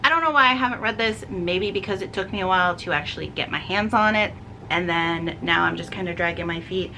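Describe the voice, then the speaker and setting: A woman's voice: fairly low pitch, a little fast, sharp tone, a little hiss or hum, poor audio. A woman giving a casual, chatty book vlog, rambling along and correcting herself as she goes. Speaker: book vlogger.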